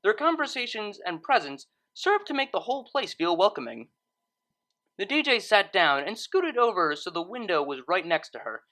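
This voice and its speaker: Female narrator, scripted storytelling, expressive, lively intonation, slightly lower pitch.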